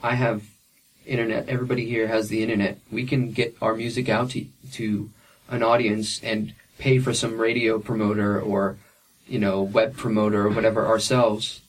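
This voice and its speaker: A man's voice: on the low side, level tone, moderate pace, recorded from a distance, low-quality recording. A young man and independent musician answering interview questions frankly, with plenty of fillers and restarts.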